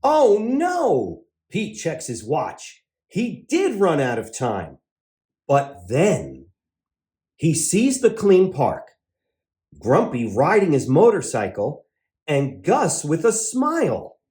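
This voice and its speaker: A man's voice: fairly high pitch, wildly swooping pitch, slow pace, a little mellow. A man hosting a children's read-aloud, exuberant and exclamatory, drawing words out for emphasis.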